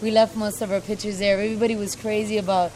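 A woman's voice: mid-range voice, level tone, fast talker. Talkative American woman, noisy vehicle background. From United States. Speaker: interviewee. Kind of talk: casual chat. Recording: noisy location with vehicle sounds.

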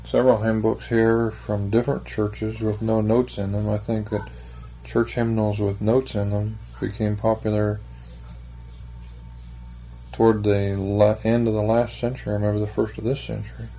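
Older man, gravelly and flat, slow hesitant talker; faint noise on the tape. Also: on the low side, poor audio. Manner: slow, hesitant, thoughtful, many fillers. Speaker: interviewee, church member. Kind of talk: oral history interview.

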